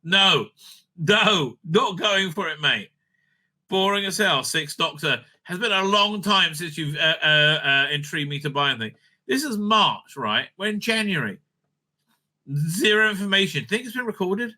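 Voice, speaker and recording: A man giving unscripted, profane, opinionated commentary as a video host, stammering and repeating himself in an excitable way. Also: high voice, moderate pace.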